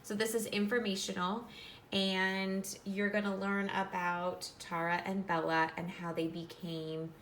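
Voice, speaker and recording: A woman's voice: medium pitch, level tone, a little slow, fairly bright tone, slight background noise. A woman teacher reading a nonfiction book aloud for children, instructive and explaining things in simple terms.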